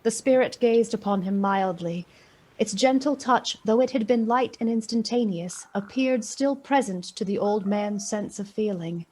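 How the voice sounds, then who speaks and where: A woman playing the storyteller of a Victorian ghost tale, with measured, literary, expressive delivery in a radio play. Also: medium pitch, close to an everyday voice. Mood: measured, wondering. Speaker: narrator.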